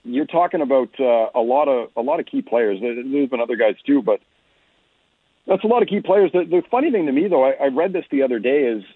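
A man's voice: medium pitch, very animated, fairly quick, husky, dry recording. A man giving conversational, explanatory sports analysis as an interview guest, often stressing his points. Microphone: remote phone-like connection. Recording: narrow phone-like line, muffled detail.

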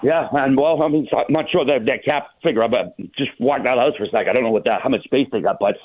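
A man's voice: medium pitch, fast talker, slightly rough, dry recording. A man hosting casual sports talk about hockey, rambling informally, heard over a tinny, narrow phone-like line.

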